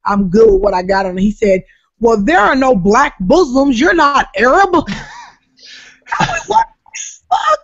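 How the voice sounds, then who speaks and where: A woman from Jacksonville, Florida with a raspy voice, telling profane stories in casual chat as a comedian guest, often laughing loudly. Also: fairly high pitch, big pitch swings, unhurried, pauses often. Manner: brash, profane storytelling with loud laughter. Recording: dull lo-fi podcast audio.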